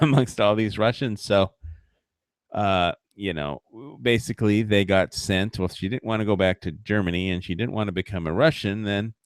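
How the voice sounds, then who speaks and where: A man's voice: fairly low pitch, smooth, dry recording, studio quality. A man hosting a livestream, rambling in casual commentary with frequent fillers, profane and mocking. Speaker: livestream host.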